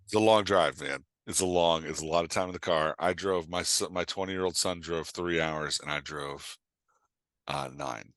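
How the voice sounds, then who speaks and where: A man's voice: deep voice, moderate pace, a bit bright, no echo, studio quality. A middle-aged man chatting casually as a podcast guest, informal and jokey, rambling as he goes. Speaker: podcast guest, author and writing coach. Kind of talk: casual chat.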